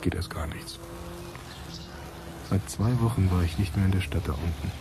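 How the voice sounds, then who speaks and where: A man's voice: deep voice, moderate pace, background noise. An actor playing a weary male scientist musing alone on his memories in a reflective radio drama monologue. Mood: wistful, wry.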